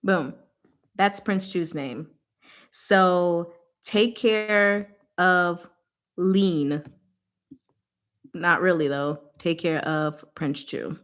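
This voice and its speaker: A woman's voice: fairly low pitch, very slow, long pauses. A woman hosting a casual book discussion, explaining things informally with playful, rambling asides.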